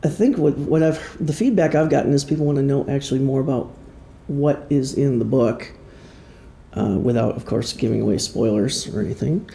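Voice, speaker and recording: Male author-musician presenting informally: mellow, creaky voice, conversational and self-effacing, recorded in a live room. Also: mid-range voice. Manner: halting, self-deprecating, conversational. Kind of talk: informal public talk about own creative project. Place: indoor room before an audience. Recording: roomy live presentation sound.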